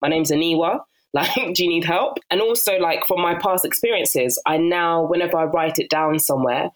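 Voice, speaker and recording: A young adult woman from Ghana, a guest on a podcast, talking in a casual, animated way with frequent laughs and fillers. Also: on the low side, moderate pace, low-quality recording. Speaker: podcast guest, actress and narrator. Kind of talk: casual interview chat.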